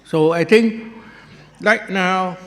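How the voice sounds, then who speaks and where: A man with a Thai accent moderating a conference, speaking slowly and deliberately with halting repeats. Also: high voice, big-room sound. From Thailand. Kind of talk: formal conference remarks. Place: large conference hall.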